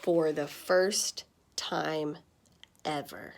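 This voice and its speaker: A woman reading a children's story aloud in the style of a teacher, with dramatic pauses and stressed words. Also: low-pitched, fairly even intonation.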